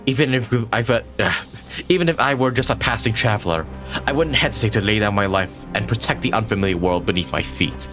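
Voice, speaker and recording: A man with a fairly deep voice gives casual, emotionally invested game reactions into a close microphone. Clear game music plays behind him. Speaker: streamer reacting to a game story. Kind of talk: casual live reaction commentary, reading some lines aloud.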